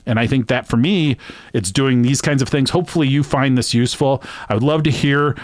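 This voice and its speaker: A man hosting a sports betting channel, talking alone to his viewers in a rambling, earnest, conversational way that swings between emphatic bursts and hushed asides. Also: medium pitch, brisk, dry recording. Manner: long-winded. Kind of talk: reflective solo monologue to camera.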